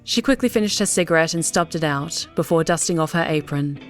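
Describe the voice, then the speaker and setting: A woman narrates an audiobook story in a scripted reading style. Her voice sits a little low, with soft music underneath. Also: expressive, brisk.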